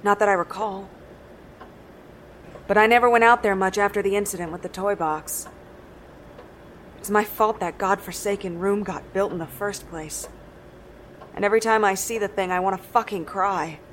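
An actress playing a blunt, weary, bitter middle-aged countrywoman in an audio drama, speaking gruffly and matter-of-factly. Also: mid-range voice, steady tone, normal speed, pauses often, slight background noise, lightly acted. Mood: bitter, flat. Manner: blunt, matter-of-fact, swearing.

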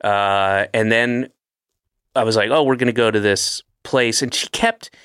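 A man's voice: lowish voice, measured pace, fairly bright tone, smooth, dry recording, clean studio sound. A man in his late fifties hosting a podcast, chatting casually and rambling with self-deprecating asides and restarts. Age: 50s. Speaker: podcast host. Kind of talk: casual chat.

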